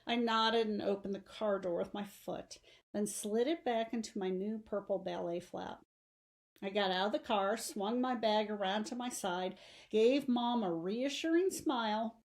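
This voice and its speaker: A woman's voice: mid-range voice, a little mellow. Woman reading a story aloud. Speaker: teacher reading a novel aloud. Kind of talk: expressive audiobook-style storytelling.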